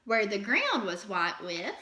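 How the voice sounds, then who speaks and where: A woman reading a children's story aloud like a teacher, with dramatic, expressive storytelling. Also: medium pitch, measured pace, echoey room.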